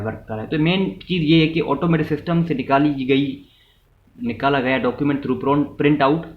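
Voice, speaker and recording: A man with a Pakistani accent giving an explanatory law lecture, mixing in English terms and restating each point in a didactic way. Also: medium pitch. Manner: didactic, repeats and rephrases points, checks in with listeners. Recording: muffled, roomy echo, background noise.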